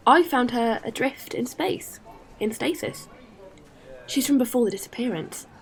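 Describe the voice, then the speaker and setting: A woman playing a caring, earnest space traveler in an audio drama, speaking with gentle hesitancy. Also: fairly high pitch, animated, unhurried, creaky. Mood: guarded.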